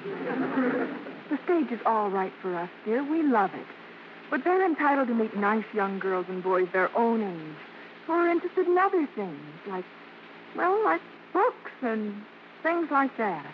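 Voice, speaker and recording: A woman's voice: fairly high pitch, big pitch swings, measured pace, slight background noise. Coaxing mother, muffled old radio. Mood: earnest coaxing. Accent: American. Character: loving, persuasive show-business mother of two daughters. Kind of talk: 1940s-50s radio acting.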